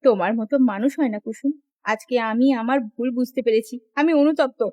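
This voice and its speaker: A humbled young sister-in-law in a family cartoon, played by a woman. Her voice is high and earnest, with a pleading, remorseful manner. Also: a little fast, mellow, natural voice. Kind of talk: Bengali moral cartoon voice acting.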